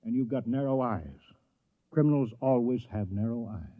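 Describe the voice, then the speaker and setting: An actor playing a fussy, anxious small-town colony mayor in a 1950s radio drama, flustered and earnest with a folksy manner. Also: male voice, mid-range voice, normal speed, lightly acted. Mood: earnest, pleading. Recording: dull, muffled vintage radio recording.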